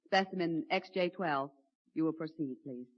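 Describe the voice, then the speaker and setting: An actress playing a female scientist who lectures crisply and pleads when upset, in a vintage radio drama. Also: low voice, normal speed, no room sound. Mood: clinical. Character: female scientist, clinical turned tender. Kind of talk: 1950s radio drama acting. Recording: dry, muffled vintage radio broadcast.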